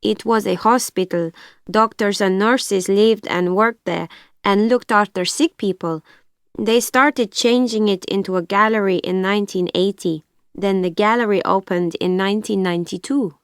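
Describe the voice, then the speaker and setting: Smooth-voiced woman in a course dialogue. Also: mid-range voice, steady tone, normal speed, no echo, clean studio sound. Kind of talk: scripted textbook dialogue.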